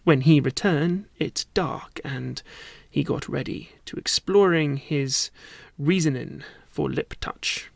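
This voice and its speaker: A male voice actor narrates a story with theatrical weight. He takes his time over the phrases, slipping at times into hushed delivery. The sound is dry, with no room around it. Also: a little high, slow, vocal fry, no echo. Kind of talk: dramatic narrated story reading.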